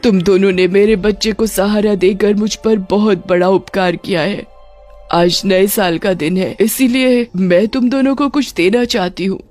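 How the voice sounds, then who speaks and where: A woman's voice: mid-range voice, steady tone, normal speed, a little mellow, soft background music, lightly acted. A woman voicing an earnest, pleading young witch mother who longs for her child, in a storybook tale. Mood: grateful, tender.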